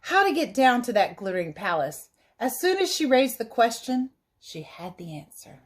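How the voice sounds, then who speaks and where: A woman teacher reading a storybook aloud to children in an expressive, animated way, pausing to explain things in simple asides. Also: a little high.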